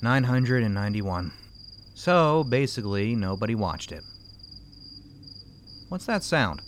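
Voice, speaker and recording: An actor in an audio fiction comedy playing a jealous, defensive, foul-mouthed video maker who gripes sarcastically. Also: male voice, fairly low pitch, very animated, measured pace, slight background noise. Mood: irritated. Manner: sarcastic, griping, profane. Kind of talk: naturalistic audio fiction dialogue.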